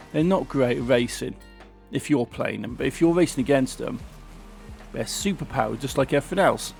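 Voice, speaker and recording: A man talks through a racing game in a relaxed, chatty commentary. His voice is croaky and gravelly from a sore throat. Faint game music plays underneath. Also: mid-range voice. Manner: chatty, grumbling about the game. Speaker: gaming channel host. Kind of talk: casual gameplay commentary.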